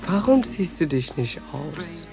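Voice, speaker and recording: An actor playing a blunt, provocative, streetwise transvestite prostitute in a German radio play. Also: male voice, fairly high pitch, very animated, a little slow, vocal fry, music in the background, heavily acted. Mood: coaxing, probing. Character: defiant, streetwise transvestite prostitute in New York.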